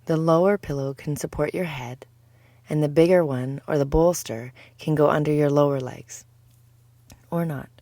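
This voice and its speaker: A woman teaching yoga nidra, narrating a guided meditation in a soothing, deliberate, measured way. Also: deep voice, warm tone, no room sound.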